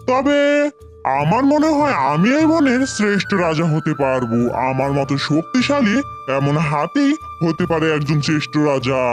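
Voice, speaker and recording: A man plays a big, mighty cartoon beast with a fairly high male voice and very lively intonation. The animal sounds amiable and proud of itself, with faint music behind. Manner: amiable, boastful. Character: big strong male bear or elephant, friendly, boastful. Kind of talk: animated fable dialogue.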